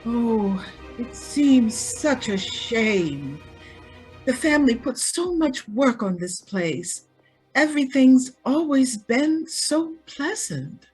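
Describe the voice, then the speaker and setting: Radio drama: sheltered, carefree rich young woman played by a woman, girlish and breezy, very animated, slow delivery. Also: a little high, breathy edge, faint music behind, heavily acted, low-quality recording. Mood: wistful. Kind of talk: radio play acting.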